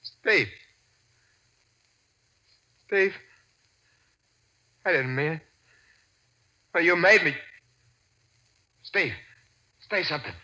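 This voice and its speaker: Sharp, desperate American radio gangster. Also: male voice, a little high, very slow, pauses often, full character voice. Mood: panicked. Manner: tough slangy talk. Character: hard, greedy gangster turned desperate and pleading. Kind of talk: 1940s American radio crime acting. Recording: dull old-time radio recording.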